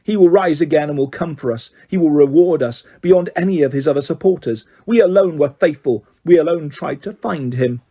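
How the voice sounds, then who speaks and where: A man's voice: fairly high pitch, brisk, hardly any pauses, warm tone, husky. A man reading aloud voices a proud, fanatical woman prisoner speaking defiantly. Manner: defiant, declaiming.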